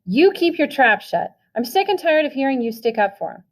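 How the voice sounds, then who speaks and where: A woman reading the part of a furious older brother who shouts and scolds. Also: on the high side, quick pace, close to an everyday voice. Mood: furious scolding. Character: older brother, angry stern young man.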